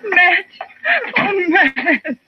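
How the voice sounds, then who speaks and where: A woman's voice: extremely high pitch, drawn-out words, full character voice. An actress playing an anxious married frontier woman in an old radio western, speaking earnestly and pleading. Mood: urgent. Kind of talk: 1950s radio drama acting. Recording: muffled old radio broadcast.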